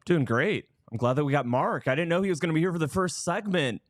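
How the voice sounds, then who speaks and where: A man's voice: fairly high pitch, expressive, fast, no echo. Casual male podcast panelist, dry close sound. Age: 30s. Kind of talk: casual chat.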